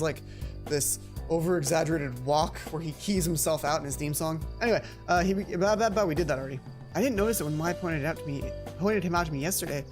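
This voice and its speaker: A woman hosting a let's play, reading a visual novel aloud with casual asides, often correcting herself and reworking lines. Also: sits low. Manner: animated, self-correcting, repeats lines to rehearse them. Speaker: let's play host and narrator. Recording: music playing behind the voice.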